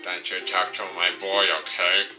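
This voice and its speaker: A man's voice: low-pitched, completely flat delivery, normal speed, far from the mic, natural voice. A man voicing a fierce, protective, scolding mother in a visual novel. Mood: furious. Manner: scolding, loud. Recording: game music behind the voice.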